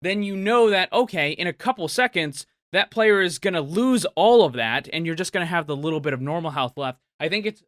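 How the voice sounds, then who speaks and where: A man presents an upbeat gaming commentary as its host. His voice sits a little high and has a strong, bright edge. The sound is dry, with no room on it. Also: lively intonation, brisk, few pauses, dry recording. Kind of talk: upbeat video commentary answering viewer questions.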